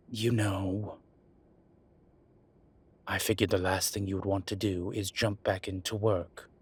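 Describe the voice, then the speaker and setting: A man playing an ancient demon professor who is gruff but contrite and caring, speaking intimately to one listener in a halting, measured, husky voice. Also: exaggerated voice. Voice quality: husky, breathy low voice. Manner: slow, halting, measured delivery with sighs.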